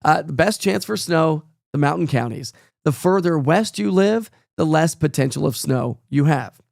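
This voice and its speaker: A man's voice: a little high, expressive, normal speed, vocal fry, a little mellow, no echo, clean studio sound. A male meteorologist, giving a chatty, rambling forecast while losing his voice to a cold. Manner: chatty, folksy, rambling with fillers. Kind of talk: casual live weather forecast.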